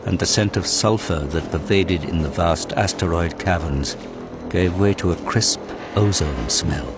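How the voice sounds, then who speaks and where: Deep-voiced man narrating over dramatic music. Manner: grave, measured storytelling. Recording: loud cinematic music behind the voice.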